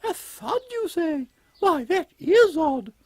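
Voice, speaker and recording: A woman's voice: very high-pitched, big pitch swings, slow, full character voice. A woman playing a regal, inquisitive lady in a comic Victorian audio drama, speaking in a prim, formal way. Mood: musing.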